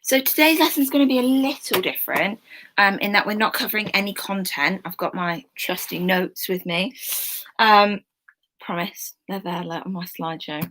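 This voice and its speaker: Bright-voiced woman teacher, a bit croaky. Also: mid-range voice, moderate pace, low-quality recording. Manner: chatty, informal, many ums and asides. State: slightly croaky voice. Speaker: history teacher. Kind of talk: online class lesson.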